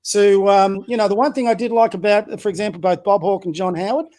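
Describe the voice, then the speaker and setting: A high-pitched man talks as a guest on a casual podcast. He is a community basketball coach who speaks bluntly and opinionatedly, firing rhetorical questions at his listeners and tripping into restarts as he builds his point. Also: fairly even intonation, fairly quick, a little mellow. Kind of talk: casual political chat.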